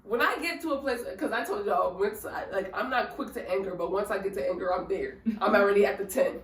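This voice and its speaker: A woman's voice: mid-range voice, fairly quick. A woman guest in a casual chat, playful and laughing often as she talks. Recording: echoey room, mic far off, faint ambience.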